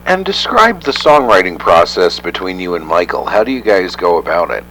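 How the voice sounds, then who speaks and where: A man asks interested, prompting questions as an interviewer. His voice is very low but moves around a lot in pitch. A faint hum sits behind him. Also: very animated, moderate pace, a bit bright, low-quality recording.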